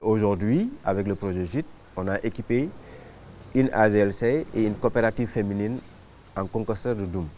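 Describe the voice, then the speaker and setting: A man's voice: lowish voice, moderate pace, warm tone. A man explaining project work in a documentary interview. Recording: faint background noise.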